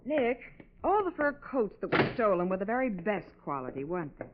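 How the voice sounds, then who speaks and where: An actress playing a sharp-eyed, inquisitive American detective's assistant in a 1940s radio drama. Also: female voice, mid-range voice, big pitch swings, fairly quick, background noise, lightly acted. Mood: curious, keen. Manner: eager, chatty, inquisitive. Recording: muffled old radio recording.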